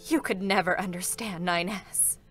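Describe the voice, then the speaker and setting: A woman playing a duty-bound, inwardly anguished android soldier in a dramatic reading, restrained and halting. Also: lowish voice, fairly even intonation, lightly acted. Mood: quietly bitter. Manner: restrained, halting, emotionally weighted. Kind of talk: dramatic stage reading of a game story. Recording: prominent music behind the voice.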